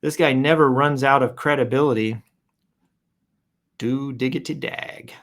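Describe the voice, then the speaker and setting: A man's voice: medium pitch, steady tone, unhurried, fairly bright tone. A man hosting a show, casually reading posts aloud and improvising commentary with playful announcer flourishes and wry asides.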